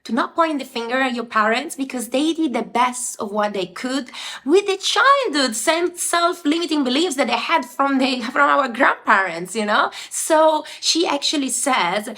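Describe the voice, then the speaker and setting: A young woman from Italy with an Italian accent, talking earnestly to viewers in a casual book review video. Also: high voice. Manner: animated, earnest, direct to viewer.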